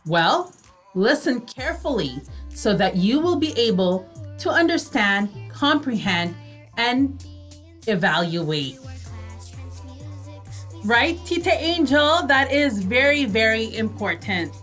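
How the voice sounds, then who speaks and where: A woman's voice: fairly high pitch, sing-song, takes it slowly, a bit bright, faint music behind. A woman co-hosting a radio show in a casual, explanatory way, restating points for emphasis. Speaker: radio talk show co-host.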